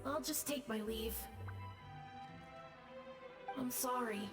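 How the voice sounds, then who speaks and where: A woman voicing the gentle, apologetic ghost of a young male friend in an anime game, soft and resigned. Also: on the high side, slow. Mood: apologetic, regretful. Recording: long ghostly reverb, music behind.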